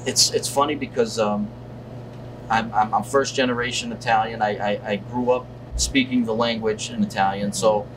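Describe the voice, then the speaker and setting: A man in his thirties answering interview questions casually and haltingly, as a deli owner. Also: fairly low pitch, fairly even intonation. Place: indoors in a deli, seated at table. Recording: distant mic, loud hum of air conditioning.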